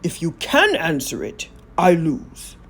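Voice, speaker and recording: A woman voicing a huge, boastful male giant in a children's storybook, with a booming, taunting delivery. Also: wildly swooping pitch, takes it slowly, fairly bright tone, slightly rough, exaggerated voice. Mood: gloating threat.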